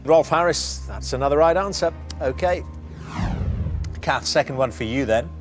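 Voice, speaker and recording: A man's voice: fairly high pitch, animated, normal speed. A man hosting a television quiz show, presenting and putting questions to contestants. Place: TV studio. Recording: faint music bed behind. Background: music.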